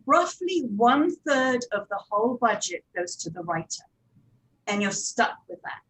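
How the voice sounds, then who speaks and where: Woman explaining, fairly high voice. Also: a bit bright, low-quality recording. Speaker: audio drama producer and director, panelist. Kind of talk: explanatory panel talk on production practice.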